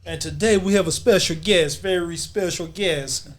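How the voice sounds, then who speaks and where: Male host, high-pitched, loose street-casual phrasing and swearing, for a relaxed group podcast. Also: moderate pace. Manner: informal, slangy, profane.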